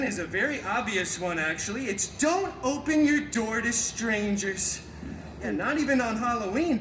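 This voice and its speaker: A man's voice: high voice, background noise. A man playing a wisecracking, flamboyant superhero who tells stories in a chatty, theatrical, sarcastic way at a live comedy show. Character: wisecracking, flamboyant adult male superhero storyteller. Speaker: theme park show performer. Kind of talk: live comic storytelling stage show. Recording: echoey live amplified show with music.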